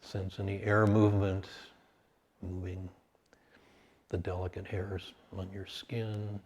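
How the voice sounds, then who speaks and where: A man leading a guided meditation, speaking calmly and in measured short phrases with long pauses between them. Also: low voice, slight background noise.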